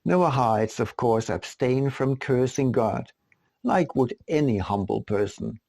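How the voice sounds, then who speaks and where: Man, author-narrator, scripted reading, gravelly fry, very dry close sound, deliberate rhetorical delivery. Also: mid-range voice, animated, a little mellow, no echo. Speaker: author reading own audiobook.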